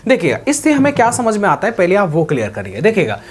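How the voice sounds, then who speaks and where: Male host for exam update explainer: higher-pitched voice, teacherly delivery, some English mixed in. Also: wildly swooping pitch, fast, slightly rough. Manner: didactic, often checking that listeners follow; mixes English words into speech. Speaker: host of a job exam news channel. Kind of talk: explanatory talk, reading out and explaining a notice.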